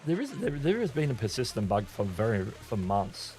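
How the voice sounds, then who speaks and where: Male live-stream host, lowish voice, rambling and casual. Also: big pitch swings, moderate pace, darkish tone, faint music behind. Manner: rambling, many restarts and fillers; reads viewer chat aloud.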